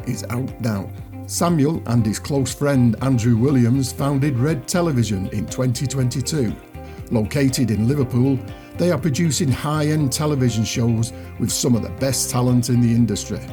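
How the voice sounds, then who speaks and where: A man hosting a podcast, moving between a scripted introduction and casual interview questions. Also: medium pitch. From Greater Manchester, England.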